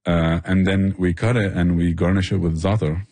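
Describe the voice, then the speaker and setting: A man's voice: sits low, steady tone, normal speed, darkish tone, no echo. A man explaining things with passion in a conversational interview. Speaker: barbecue restaurant owner and chef, interview guest.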